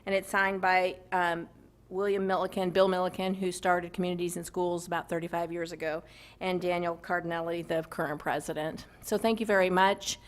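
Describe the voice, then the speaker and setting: A woman's voice: on the low side, little pitch movement, fairly quick. A woman giving informal, chatty remarks at a school board meeting, with many ums and restarts. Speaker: community nonprofit representative. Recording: echoey room, distant mic, noisy.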